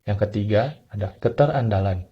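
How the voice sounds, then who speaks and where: Husky young man, dull lo-fi sound. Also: fairly low pitch, normal speed. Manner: list-like reading with small stumbles. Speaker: student presenter. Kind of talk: reading a class presentation.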